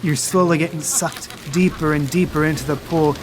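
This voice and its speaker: A game master narrating a tabletop game, describing eerie dream scenes in a calm, measured way. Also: mid-range voice, background noise.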